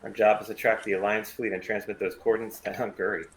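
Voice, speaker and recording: A man's voice: fairly low pitch, little pitch movement, fast, a bit bright, slight background noise, close to an everyday voice. A man playing a wry mercenary starship pilot in a script table read, with a dry, casual, sardonic way of talking. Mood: weary, thoughtful.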